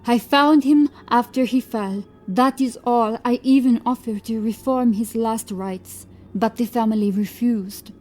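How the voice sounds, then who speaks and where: A woman acts out a medieval prioress in a horror tale, frightened and pleading. Her voice is soft-edged and mellow, and she protests her innocence over background music. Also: fairly high pitch, measured pace, hardly any pauses. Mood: defensive, pleading. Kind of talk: dramatised horror audiobook acting.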